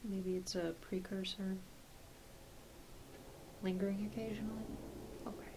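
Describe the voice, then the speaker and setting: A woman playing a gentle, reassuring doctor in an ASMR exam roleplay, speaking softly and hesitantly, often half-whispering. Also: medium pitch, unhurried, close to an everyday voice. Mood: calm, reassuring. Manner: soft, half-whispered, hesitant, apologetic bedside talk. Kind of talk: soft-spoken ASMR medical exam roleplay. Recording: faint steady hiss behind close voice.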